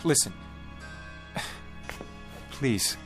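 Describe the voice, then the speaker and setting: An actor in a radio drama playing a desperate, pleading time traveler with a halting, urgent delivery. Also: male voice. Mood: uneasy, faint. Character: desperate, pleading male time traveler trying to prevent an assassination. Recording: background music under radio play.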